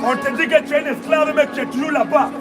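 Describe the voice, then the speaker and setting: A man's voice: extremely high pitch, fairly even intonation, fast, over loud music. A man preaching outdoors in a city, declaiming in short repeated phrases that a partner answers. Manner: declamatory, repetitive, call-and-response. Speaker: street preacher. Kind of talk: open-air sermon.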